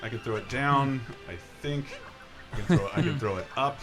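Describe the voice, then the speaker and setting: A man plays and explains a video game in a casual, profane style. His voice is medium in pitch and pace, with music clearly audible behind him. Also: normal speed. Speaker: host playing and demonstrating a video game. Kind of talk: casual live gameplay commentary.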